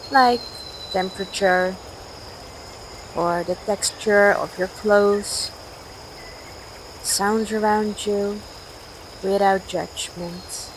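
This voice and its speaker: A woman leading a guided sleep meditation in a gentle, soothing, measured way, with long pauses between instructions. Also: mid-range voice, poor audio. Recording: steady outdoor nature noise, crickets. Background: cricket and night insect ambience.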